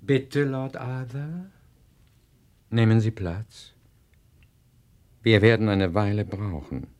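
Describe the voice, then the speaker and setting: An actor playing a fussily polite, mercenary and evasive palm reader in a radio play. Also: male voice, fairly low pitch, takes it slowly, darkish tone. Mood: fussily businesslike. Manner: fussy, obsequious, evasive. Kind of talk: German radio play acting.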